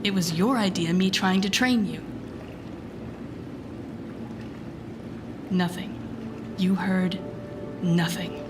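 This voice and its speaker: A woman playing a telepathic villain, a guarded and intense mentor with a secretly tender side, in an intimate audio roleplay with slow, breathy, deliberate delivery. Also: on the low side, natural voice. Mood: flustered, curt. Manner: slow, deliberate, often dropping into breathy near-whispers. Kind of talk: intimate audio roleplay acting addressed to the listener. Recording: roomy echo with music behind.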